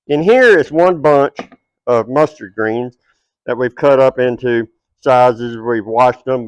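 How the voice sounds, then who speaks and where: A man's voice: mid-range voice, no echo, lo-fi sound. A middle-aged man with a Texas twang, hosting a cooking show at an outdoor backyard table and chatting straight to his viewers.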